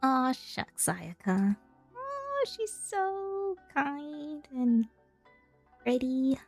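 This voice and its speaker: A woman's voice: high-pitched, very animated, very slow. A young woman giving casual, chatty and playful gameplay commentary as a streamer. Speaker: game streamer. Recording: faint game music behind. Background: video game music.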